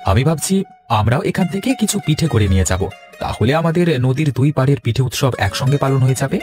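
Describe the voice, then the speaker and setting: Man as a brisk, hopeful village husband. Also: mid-range voice, animated, darkish tone, lightly acted. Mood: decided. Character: agreeable village husband, hopeful about reconciliation. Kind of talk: cartoon voice acting. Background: instrumental music.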